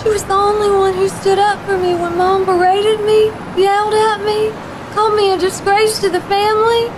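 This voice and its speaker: Actress as a very high-voiced, despairing young woman. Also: little pitch movement, normal speed, background noise. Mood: despairing, defiant. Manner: tearful, emotional delivery. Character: grief-stricken, despairing young woman, bitter and desperate. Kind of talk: horror audio drama acting. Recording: music behind the voice.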